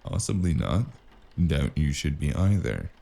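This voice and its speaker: Male actor as hardboiled noir detective, low-pitched, dark mellow tone, sardonic and menacing, gravelly, audio drama. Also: very animated, measured pace, heavily acted. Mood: dry menace. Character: cynical, wisecracking hardboiled spacer investigator with magic. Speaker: voice actor playing the lead and narrator.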